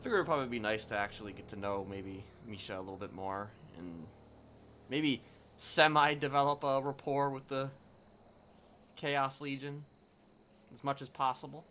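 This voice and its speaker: A man's voice: mid-range voice, normal speed, sharp tone, a little hiss or hum. A man talking casually and hesitantly on a roleplay livestream, often repeating himself. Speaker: streamer roleplaying.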